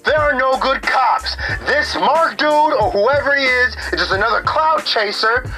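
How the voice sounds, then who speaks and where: Woman as high-voiced, indignant protester caller. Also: lively intonation, unhurried, loud music behind the voice, low-quality recording. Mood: indignant, scornful. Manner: slangy, ranting, blunt. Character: indignant, streetwise young protester calling radio show. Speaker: radio caller.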